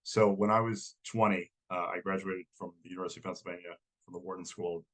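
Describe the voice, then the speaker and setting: A man in his forties from New York, slightly croaky from a cold, giving a talk over a video call with frequent restarts. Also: deep voice, fairly even intonation, quick pace, a bit bright, poor audio. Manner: hesitant, many restarts. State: hoarse from a cold. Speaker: guest speaker. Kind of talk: casual presentation.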